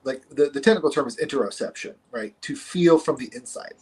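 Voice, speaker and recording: Man, 40s, counselor, husky, slightly high pitch, reflective, hesitant. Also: a little fast. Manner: explanatory, reflective, hesitant fillers. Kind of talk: casual conversation.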